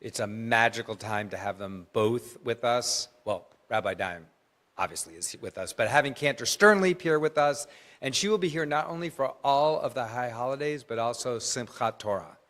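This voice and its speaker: A man's voice: medium pitch, animated, normal speed, a bit bright. A man serving as synagogue president, giving chatty, enthusiastic announcements with joking asides to the congregation. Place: large synagogue sanctuary.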